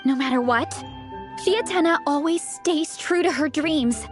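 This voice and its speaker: High-voiced young game heroine, earnest, hearty and enthusiastic, over light music. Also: female voice, unhurried. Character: cheerful young woman, hungry fighter and devoted comic fan. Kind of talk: video game voice acting.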